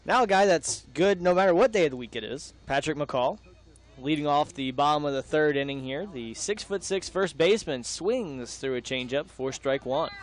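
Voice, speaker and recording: A man's voice: fairly high pitch, moderate pace. A young man doing live baseball play-by-play, mixing the calls with casual banter. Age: young adult.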